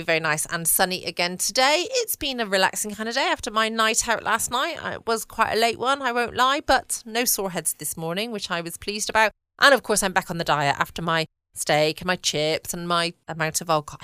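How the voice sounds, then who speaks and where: A British woman chats casually as a bedtime podcast host. Her voice is slightly low, smooth and bright, in a dry home recording. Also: no room sound. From United Kingdom.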